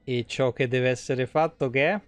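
A man's voice: mid-range voice, fairly even intonation, moderate pace, no room sound, soft background music. A man, giving casual, joking, foul-mouthed live-stream commentary. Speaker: video game streamer. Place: small home room, indoors.

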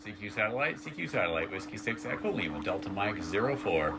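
A man's voice: lowish voice, big pitch swings, fast talker, vocal fry. Man calling over very noisy ham radio. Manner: clipped, spelling out call signs. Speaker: amateur radio operator. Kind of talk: ham radio contact calls, phonetic alphabet. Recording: very noisy radio static, clicks. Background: loud radio hiss and clicks.

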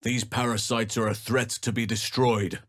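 An actor playing a wise, gentle and steadfast elf druid in a fantasy video game, speaking in measured, formal phrases. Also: male voice, mid-range voice, level tone, no room sound, clean studio sound, natural voice. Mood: earnest, measured. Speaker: video game companion character. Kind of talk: acted fantasy game dialogue.

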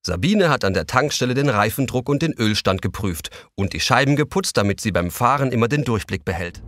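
A man's voice: mid-range voice, expressive, fairly quick, fairly bright tone. A man narrating a children's radio play in a scripted storytelling style.

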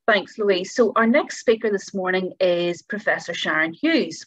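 A woman's voice: medium pitch, normal speed, dry recording. A middle-aged woman hosting an online panel, introducing speakers and moving the session along. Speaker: host and moderator. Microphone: video call. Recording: dull dry video-call audio.

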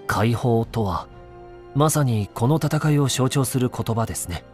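A mid-range male voice from an actor as an inquisitive game reporter. He talks in a measured, courteous way, with light music underneath. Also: medium pitch, animated, darkish tone, natural voice. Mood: courteous, attentive. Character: courteous, probing young newspaper reporter interviewing royalty. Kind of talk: Japanese video game voice acting.

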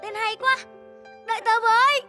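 An actor voicing an eager, clumsy, timid young pet cat in a cartoon, with an excitable, stammering delivery. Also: very high-pitched, moderate pace. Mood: eager, excited. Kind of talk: animated cartoon dubbing. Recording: music behind the voice.

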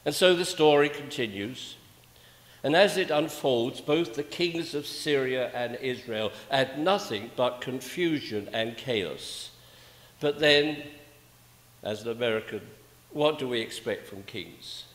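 An older man delivers a sermon at an unhurried, deliberate pace. His phrasing is rhetorical, with weighty pauses and repetition, and his mid-pitched voice rings through an echoey church with long reverb. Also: fairly bright tone. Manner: measured, rhetorical, with emphatic pauses and repeated phrases. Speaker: preacher. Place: indoors, small church at a pulpit.

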